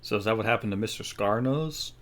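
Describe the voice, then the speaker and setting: Male gaming streamer, lowish voice, reflective commentary, musing aloud about the story. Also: a little fast, a bit bright.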